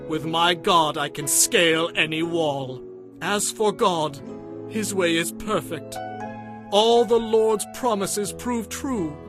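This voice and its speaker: High-voiced, fervent warrior king in prayer. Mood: earnest devotion. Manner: solemn, fervent, declamatory. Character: devout warrior king singing praise to God. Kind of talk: dramatized scripture recitation. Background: music.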